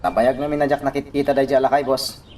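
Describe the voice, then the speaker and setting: A man's voice: medium pitch, steady tone, quick pace, darkish tone, natural voice. A man with an Ilocano Filipino accent playing a deferential, questioning henchman in a radio drama. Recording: slightly distant, faintly noisy.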